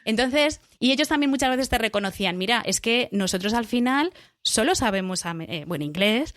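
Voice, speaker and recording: A woman's voice: on the high side, lively intonation, fairly quick, fairly bright tone, dry recording. A middle-aged woman from Spain with a Spanish accent, chatting as a podcast guest, talking in a loose way full of restarts and fillers. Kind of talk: casual interview chat.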